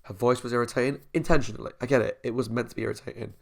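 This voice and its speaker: A man's voice: medium pitch, steady tone, racing, creaky. A man, a YouTube reviewer talking through his opinions in a casual monologue, thinking aloud as he weighs them.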